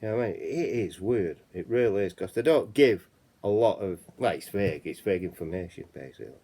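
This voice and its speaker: A man's voice: deep voice, expressive, quick pace, warm tone, breathy edge. A man with a Yorkshire accent hosting a casual chat channel, reading out reports and rambling, often saying "you know what I mean". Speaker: channel host.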